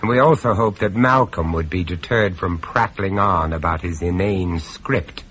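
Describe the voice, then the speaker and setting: Low, grave gentleman narrator. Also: moderate pace, breathy edge. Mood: grave, tense. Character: grave, observant gentleman narrating a horror tale. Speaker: narrator and character in a radio play. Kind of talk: dramatic first-person horror storytelling. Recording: noisy old radio drama recording.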